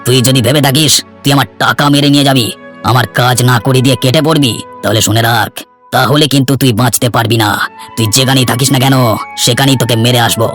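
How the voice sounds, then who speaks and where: A man's voice: medium pitch, steady tone, fast talker, a bit bright, faint music behind, natural voice. A man voicing a menacing, bullying village schemer in a cartoon story, domineering and threatening. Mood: angry threatening.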